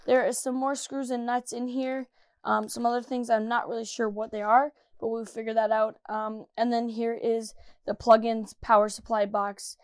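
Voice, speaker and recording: A young woman with a slightly hoarse voice chatting casually through a product review, full of ums and uhs, recorded on a studio mic in a small room. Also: on the high side, level tone, moderate pace. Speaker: 3D printing reviewer. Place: small indoor room with 3D printers. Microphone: studio mic with pop filter.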